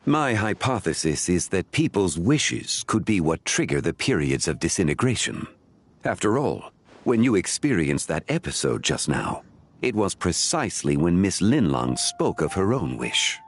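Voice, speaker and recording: A deep-voiced ancient scholar. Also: male voice, sing-song, breathy edge, full character voice. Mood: calm. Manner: measured, formal, explanatory. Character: calm ancient scholarly man, composed and knowing. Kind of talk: video game voice acting. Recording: game audio with faint music.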